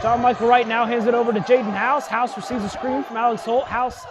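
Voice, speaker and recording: High-pitched young man calling basketball over crowd. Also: brisk. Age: young adult. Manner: excited, projected, often shouting calls. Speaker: basketball play-by-play announcer. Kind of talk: live radio sports commentary. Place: courtside in a basketball arena. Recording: loud crowd and gym noise behind. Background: crowd, bouncing ball, gym noise.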